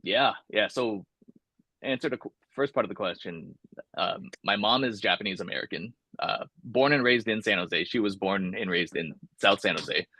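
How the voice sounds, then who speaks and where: Young man, very low voice, dry close sound, casual and reflective, interview. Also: normal speed, bright, forceful tone, no room sound. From California. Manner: casual, thoughtful, many fillers and restarts. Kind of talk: oral history interview.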